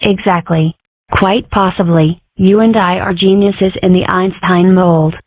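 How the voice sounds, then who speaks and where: A woman's voice: on the low side, fairly even intonation, measured pace, no room sound. A woman voicing an inquisitive, dramatic young cartoon girl in a comic strip dub, with wry, theatrical musing. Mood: scheming, musing. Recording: muffled phone-like audio.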